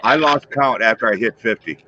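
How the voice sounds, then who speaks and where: A man's voice: medium pitch, a little fast, pushed and bright, breathy edge, dry recording, lo-fi sound. Man in his fifties, joking stream host. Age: 50s. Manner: loose, joking, rambling. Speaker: livestream host. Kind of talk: casual chat with viewers.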